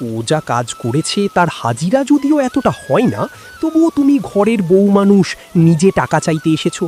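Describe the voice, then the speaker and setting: A man's voice: sits high, big pitch swings, normal speed, dark tone, soft background music. A man playing a condescending, tight-fisted village headman in a cartoon story, patronizing and dismissive. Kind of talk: dramatized cartoon story acting.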